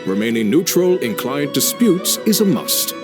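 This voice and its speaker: A man's voice: medium pitch, sing-song, moderate pace, natural voice. Man playing an oily, wildly swooping front-desk clerk. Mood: evasive. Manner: unctuous, formal, theatrical. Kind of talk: animated game cutscene dialogue. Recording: music behind the voice.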